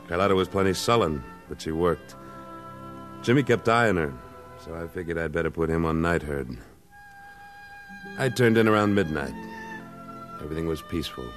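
Low-voiced, terse American western trail boss. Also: male voice, animated, normal speed, pauses often. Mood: grim narrating. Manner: terse, dry, commanding. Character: tough, curt cattle rancher and trail boss. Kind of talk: 1950s western radio drama acting. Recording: old radio recording with music.

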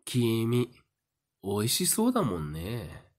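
A man playing a sly, smug fortune-teller in a voice drama, theatrical and condescending with a taunting calm. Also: medium pitch, slow, exaggerated voice. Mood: sly, menacing. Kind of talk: Japanese voice drama acting.

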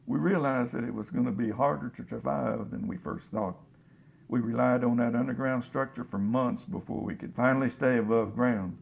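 Man acting a folksy gravelly disaster survivor. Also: mid-range voice, exaggerated voice. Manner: folksy, plain-spoken. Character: folksy, hopeful scavenger, survivor of global disaster. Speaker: actor in a radio drama. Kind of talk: radio drama, interview monologue.

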